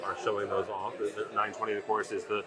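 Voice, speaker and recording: A man's voice: on the low side, level tone, quick pace. Male interviewer with crowd chatter behind. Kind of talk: trade show interview. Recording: crowd chatter at busy event. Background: crowd at a sales meeting.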